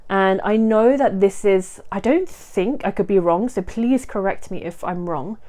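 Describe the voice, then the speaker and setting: A woman's voice: mid-range voice, unhurried, dark tone. Chatty woman vlogging to camera. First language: not Korean. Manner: chatty, explanatory, many asides and self-corrections. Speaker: vlogger host. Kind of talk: casual talking-to-camera vlog.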